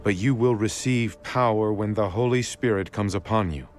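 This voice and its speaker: An actor playing a solemn, authoritative male teacher in a measured audio Bible drama. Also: lowish voice, natural voice. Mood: solemn, commanding. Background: music.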